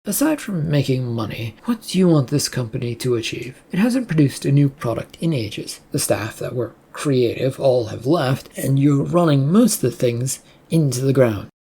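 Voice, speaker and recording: A reader acting out a defiant male researcher from a story. He is blunt and flippant, in a soft-edged, husky mid voice that keeps probing. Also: medium pitch, animated, moderate pace, few pauses. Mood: confrontational. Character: defiant, smirking male researcher challenging his boss.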